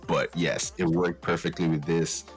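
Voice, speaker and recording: A man's voice: deep voice, flat delivery, moderate pace. A man co-hosting a casual music review, talking in a slangy, emphatic, informal way. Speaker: guest co-host of music podcast.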